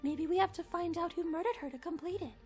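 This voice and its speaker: A woman's voice: extremely high pitch, quick pace, darkish tone, soft background music. A woman voicing a sweet, composed young cartoon pony lady with a British accent and a polite, thoughtful way of talking. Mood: calm, thoughtful.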